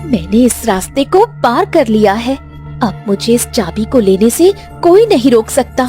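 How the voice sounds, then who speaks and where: A woman's voice: fairly high pitch, big pitch swings, measured pace, mellow, faint music behind. A woman voicing a brave, determined and earnest young village girl in a cartoon story. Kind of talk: animated village fairy tale acting.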